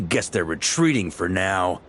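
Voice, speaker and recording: A man's voice: sits low, very animated, unhurried, fairly bright tone, slight background noise, full character voice. A man voicing a confident, battle-seasoned knight leader in a video game, hearty and commanding. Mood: calm, assessing.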